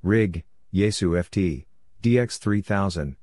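A man's voice: deep voice, a little slow, a little mellow, no echo, clean studio sound. A man reading scripted radio practice messages in short, clipped, segmented phrases, as a narrator. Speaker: narrator of Morse code practice.

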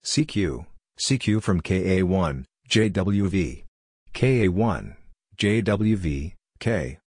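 Deep male voice, kinda husky, reads clipped little phrases one at a time like a practice drill. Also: animated, a little mellow, no echo, clean studio sound. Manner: measured, clipped phrase-by-phrase delivery. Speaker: narrator of Morse code practice phrases. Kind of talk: scripted readout of short radio phrases.

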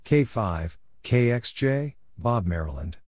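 A man reading out practice exchanges as a narrator, in a measured way, spelling out letters one at a time. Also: mid-range voice, lively intonation, a little mellow, no echo, clean studio sound. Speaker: Morse code practice narrator.

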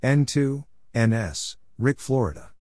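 A man reading scripted short exchanges as a practice narrator, deliberately spelling out letters and numbers one at a time. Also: mid-range voice, animated, darkish tone, slight background noise. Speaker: narrator of Morse code practice.

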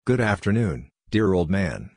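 A man reading out short, separate scripted phrases deliberately, as the narrator of a practice drill. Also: low-pitched, big pitch swings, very noisy. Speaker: Morse code practice narrator.

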